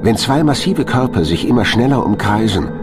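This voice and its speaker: A man's voice: mid-range voice, animated, moderate pace, over loud music. A man reading scripted narration as the narrator of a documentary.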